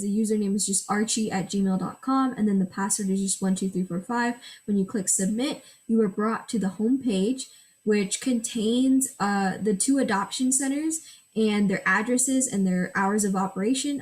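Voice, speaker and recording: A woman's voice: medium pitch, darkish tone. A teenage girl, a native Gujarati speaker, gives a school project presentation in a mid-range voice. She reads from a prepared script and explains each screen step by step. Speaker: student presenter.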